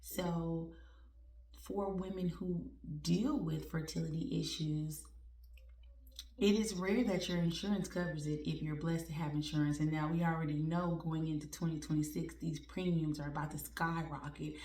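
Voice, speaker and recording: A woman in her forties talking candidly and warmly to her viewers in a casual, self-joking way. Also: deep voice, steady tone, normal speed, roomy sound, far from the mic. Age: 40s. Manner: candid, conversational, laughs at herself, uses y'all. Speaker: vlogger. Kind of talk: personal heartfelt talk to viewers.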